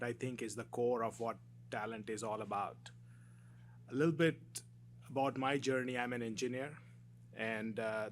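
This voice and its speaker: Measured man with faint hum. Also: medium pitch. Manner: measured, upbeat. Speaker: consulting partner, panelist. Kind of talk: panel introduction. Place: conference stage.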